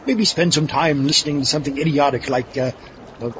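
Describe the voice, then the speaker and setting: A man's voice: fairly high pitch, brisk, lightly acted. A man playing a cocky, foolish schemer in a comedy audio drama, folksy and overconfident. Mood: smugly confident. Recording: dull audio with faint noise.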